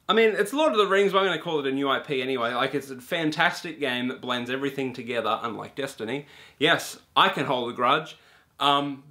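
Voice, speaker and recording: A young man talks straight to the camera in a small room, loose and animated, with plenty of ums and the odd swear. His voice is bright and forceful, pitched in a middle range for a man. He sounds like someone casually reviewing a game. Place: small indoor room with a brick wall and shelves.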